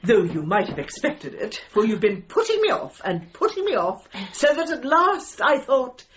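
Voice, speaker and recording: An imperious older lady dog trainer, speaks with grand, formal pomposity and swooping, animated intonation. She presses her point relentlessly in a gently comic radio play, on a slightly dull recording. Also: on the high side, moderate pace, slight background noise. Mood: imperious, insistent. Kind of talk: radio comedy drama acting.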